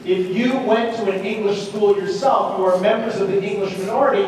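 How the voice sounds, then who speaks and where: High-voiced male lecturer in an echoing hall. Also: brisk. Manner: animated, rhetorical questions and check-ins. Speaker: university lecturer. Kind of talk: conversational lecture with questions to students. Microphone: distant room mic. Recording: roomy echoing lecture hall, distant mic, noisy.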